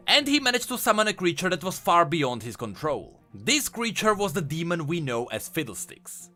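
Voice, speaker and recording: A man's voice: sits high, pushed and bright. A man hosting a scripted explainer, narrating lore in an emphatic, storytelling way. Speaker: video host and lore commentator.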